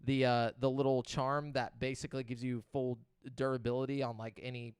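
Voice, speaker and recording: A man's voice: mid-range voice, flat delivery, a little fast, clean tone, no room sound. A man hosting a casual podcast, reading game release news in a loose, profane, joking way and stumbling over names. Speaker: podcast host.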